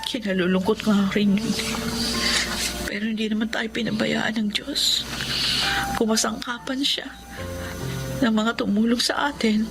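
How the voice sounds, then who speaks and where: An earnest, devoted young wife. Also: female voice, on the high side, steady tone, a little slow, pauses often, rough recording. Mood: tender. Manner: earnest, tender. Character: devoted young wife, self-sacrificing and gentle. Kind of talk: radio drama acting. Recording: clear music bed behind.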